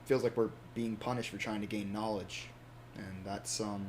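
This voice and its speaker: A man's voice: lowish voice, steady tone, moderate pace, close to an everyday voice. A man playing a resigned, reflective ordinary man facing the apocalypse, musing hesitantly in an understated, informal monologue. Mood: uneasy, resigned. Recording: background noise and ambience.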